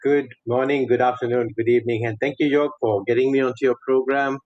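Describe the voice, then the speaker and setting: A man's voice: medium pitch, steady tone, fast, no room sound. A middle-aged man with a Sri Lankan accent, talking conversationally about himself as an interview guest. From Sri Lanka and Saudi Arabia. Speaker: podcast guest, coach. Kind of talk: conversational personal account in an interview. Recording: dull, lo-fi, dry audio.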